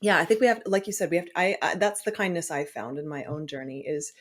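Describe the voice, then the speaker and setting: A woman hosting a podcast, talking thoughtfully with pauses and fillers. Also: fairly low pitch, steady tone. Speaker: podcast host. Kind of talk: conversational interview.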